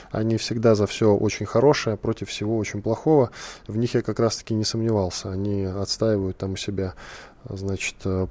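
A man's voice: on the low side, a little mellow, dry recording. A man hosting a talk show, reading out news items and putting questions to his guest. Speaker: host.

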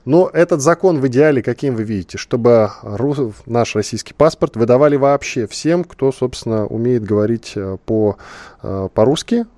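Mellow-voiced male talk show host. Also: mid-range voice, animated, moderate pace, dry recording. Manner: poses questions to guest, reads out news items.

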